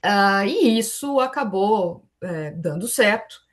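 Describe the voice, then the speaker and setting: A woman's voice: medium pitch, lively intonation, slow. Brazilian woman presenting, steadily explanatory. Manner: explanatory, frequently seeking agreement with tags. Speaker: forum panelist. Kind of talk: online academic presentation.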